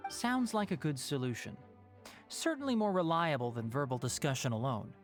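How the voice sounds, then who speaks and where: Casting: actor for sensible, dry-witted young video game scholar, expressive rise and fall in pitch. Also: male voice, moderate pace, soft background music, lightly acted. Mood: thoughtful. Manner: dry, articulate, matter-of-fact. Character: wry, sensible young male scholar and guardian. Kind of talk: video game cutscene acting.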